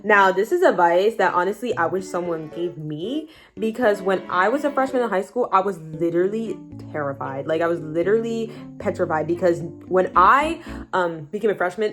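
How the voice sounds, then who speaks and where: A teenage girl giving casual high school advice in a chatty vlog, saying "like" a lot. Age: teens. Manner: chatty, heavy use of "like", animated asides. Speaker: high school student vlogger.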